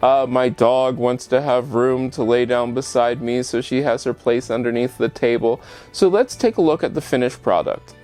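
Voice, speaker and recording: A man's voice: mid-range voice, normal speed, hardly any pauses, darkish tone, faint music behind. A man hosting a casual how-to home vlog, chatting straight to the viewer and posing rhetorical questions. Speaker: home show host. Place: bedroom at home.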